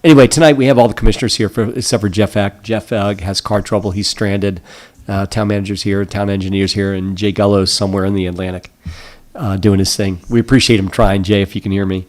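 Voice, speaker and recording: A man chairing a town commissioners' meeting, moving through the agenda with an easygoing, brisk manner and frequent "very good"s. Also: on the low side, fairly even intonation, a little mellow. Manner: affable, brisk procedural patter with repeated "very good".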